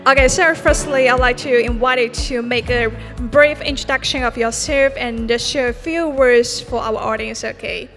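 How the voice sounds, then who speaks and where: A high-pitched woman with a bright, ringing voice, hosting a racing esports event from the stage in Mandarin and English. She has an upbeat announcer's delivery, with music playing faintly behind her. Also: steady tone, normal speed, few pauses. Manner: upbeat, formal announcer phrasing. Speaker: bilingual event emcee.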